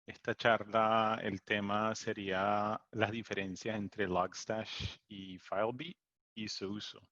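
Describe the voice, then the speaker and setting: A low-voiced man, a native English speaker, presents a technical webinar over a video call. He talks slowly, with frequent fillers and restarts. The sound is dry and a little muffled, as through a laptop mic. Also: little pitch movement, bright, forceful tone, dry recording. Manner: hesitant, many fillers and restarts. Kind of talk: online tech presentation. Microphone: computer mic on a video call. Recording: dull lo-fi video call audio.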